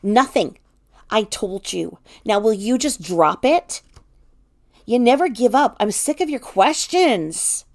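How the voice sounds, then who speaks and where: Storybook role played by a woman: defensive, accusing hothead, raised creaky voice, forceful and exasperated. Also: mid-range voice, lively intonation, normal speed, hardly any pauses. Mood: irritated, snapping. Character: short-tempered, defensive adult snapping at a loved one.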